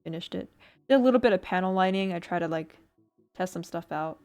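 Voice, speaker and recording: A woman's voice: lowish voice, fast, a little mellow, smooth. A woman streamer chatting casually while building a model kit, rambling with lots of fillers and little laughs. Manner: rambling, filler-heavy, giggly, self-deprecating.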